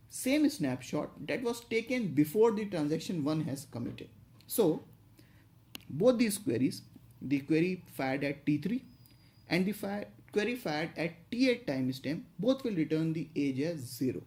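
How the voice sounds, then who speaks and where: Mellow-voiced instructor explaining step by step. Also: mid-range voice. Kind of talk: explanatory tutorial narration.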